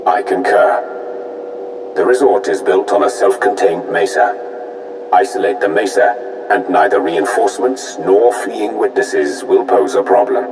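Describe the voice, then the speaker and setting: A man playing a grave, formal military servant in a video game, measured and deferential. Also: sits low, noisy background. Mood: grave, briefing. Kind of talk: video game cutscene acting. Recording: roomy, distant, music underneath.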